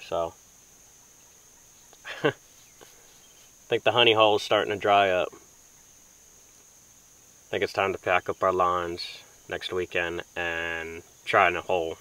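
Low-voiced young man vlogging outdoors. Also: steady tone, a little slow, long pauses, a bit bright, smooth. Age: young adult. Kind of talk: casual vlog talk to camera. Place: outdoors in a small boat on a river. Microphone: camera mic at close to mid distance. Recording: faint outdoor ambient noise, insects.

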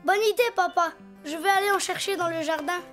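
A performer voicing a cheerful, eager little boy donkey in a preschool cartoon, with a childlike, expressive delivery. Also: very high voice, brisk. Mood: cheerful, busy. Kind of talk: preschool cartoon voice acting in French. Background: cartoon music.

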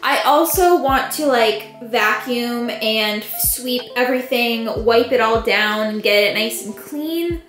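A chatty young woman with a bright, high voice narrates her cleaning day in a casual, upbeat way. She sounds a little distant in an echoey room at home, with music playing under her. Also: slow pace, few pauses. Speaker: lifestyle vlogger. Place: rooms of a house, indoors. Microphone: camera on a tripod at a distance.

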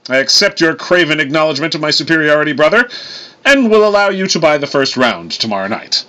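A man voicing a loud, boastful male thunder god in an audiobook story, pompous and grandiose. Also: a little high, expressive, quick pace, dull audio, voice pushed up. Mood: smug.

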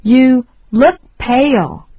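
A woman reading short phrases slowly and deliberately for learners to repeat. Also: sits high, slightly rough, no room sound, phone-quality sound. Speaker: English lesson reader.

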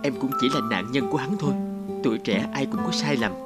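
An actor voicing a devoted, protective young fiancé in an animated drama, earnest, emphatic and tender. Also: male voice, medium pitch, fast talker, loud music behind the voice, natural voice. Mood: earnest, pleading. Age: young adult.

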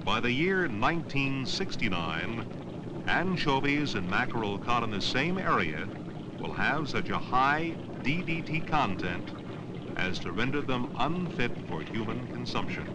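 A man's voice: mid-range voice, very animated, measured pace. Man narrating a noisy old educational film. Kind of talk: scripted educational documentary narration, around 1970.

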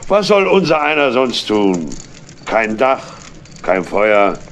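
An actor playing a sly, whining old tramp and thief in a children's audio drama, theatrical, stammering and evasive, with a gravelly voice. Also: male voice, fairly low pitch, big pitch swings, slow, fairly bright tone, slight background noise, exaggerated voice. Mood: folksy, self-pitying. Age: older. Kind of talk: 1970s-80s German children's audio drama acting.

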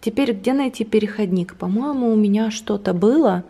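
A woman's voice: medium pitch, a little fast, warm tone. A woman in her thirties chatting casually and playfully for a home vlog, as an affectionate mother. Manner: playful, giggly, affectionate with children. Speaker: vlogger and mother. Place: shop, then a large living room at home. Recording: noisy camera audio with clicks.

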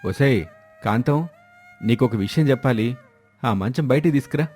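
A man's voice: mid-range voice, animated, normal speed, darkish tone, faint music behind, natural voice. A man playing a proud, touchy village husband in an emphatic moral story drama. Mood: put out. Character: proud, touchy village husband who gives too freely. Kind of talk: moral story character acting.

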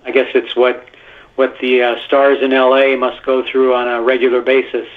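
A man's voice: medium pitch, flat delivery, normal speed. Man on a tinny phone line. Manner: rambling, filler-heavy storytelling. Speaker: guest actor. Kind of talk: casual phone-in interview.

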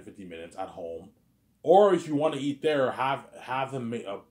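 A man's voice: lowish voice, a little mellow, echoey room. A man in his thirties rambling casually in a monologue to his viewers, repeating himself and stumbling over words. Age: 30s. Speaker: vlogger.

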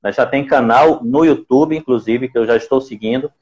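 A man's voice: medium pitch, brisk, darkish tone, muffled recording. A man in his forties with a northeastern Brazilian accent from Recife, hosting a conversational live interview in a didactic way, repeating phrases for emphasis. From Pernambuco, Brazil. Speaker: live stream host. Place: indoor room at home. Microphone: earphone mic on a video call.

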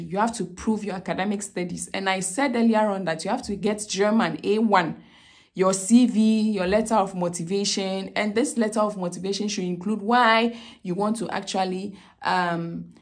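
A woman's voice: mid-range voice, darkish tone. A woman in her thirties with a Ghanaian accent, explaining visa steps to camera in a casual, repetitive way, often checking in with "okay?". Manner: repeats "okay?" often, many fillers and restarts. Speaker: vlogger giving visa advice. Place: small indoor room with plain wall.

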